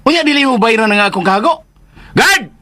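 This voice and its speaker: An actor playing an arrogant, strict and hot-tempered store owner in a Filipino radio drama, with a Filipino accent and a haughty, confrontational manner. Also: male voice, very high-pitched, expressive, a little fast, noisy background. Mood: angry, indignant.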